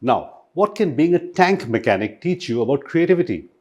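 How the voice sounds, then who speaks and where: A man with an Indian accent hosting a podcast, reading a scripted introduction and asking measured, articulate questions. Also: on the high side, sing-song. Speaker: podcast host.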